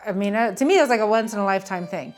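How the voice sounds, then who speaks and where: A woman's voice: mid-range voice, expressive, very fast. A middle-aged American woman talking casually in an interview as a small business owner. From Philadelphia, United States. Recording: music playing behind the voice. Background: string music.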